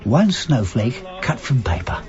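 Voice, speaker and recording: A slightly dull radio play recording of an elderly Cockney man. His voice has a lively, varied melody and middling pitch. He tells things with cheek and protective tenderness. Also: a little slow, over music. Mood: chirpy, reminiscing. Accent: Cockney London. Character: devoted, protective, cheeky old Cockney husband. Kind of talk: naturalistic radio drama acting.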